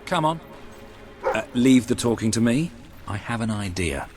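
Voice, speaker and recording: An actor with a British accent playing a cocky, irreverent, wisecracking soldier in a fantasy video game, sardonic in manner. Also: male voice, mid-range voice, moderate pace, music in the background, natural voice. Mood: wry, determined. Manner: sardonic, animated. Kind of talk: dramatic game dialogue.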